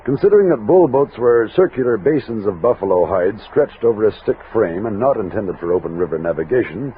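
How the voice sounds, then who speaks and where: A man's voice: fairly low pitch, lively intonation, brisk, slight background noise, lightly acted. An American man playing a commanding frontier expedition captain who narrates his journey in a 1960s radio drama. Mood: measured, recounting. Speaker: lead actor and narrator. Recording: narrow-band old radio recording, music behind.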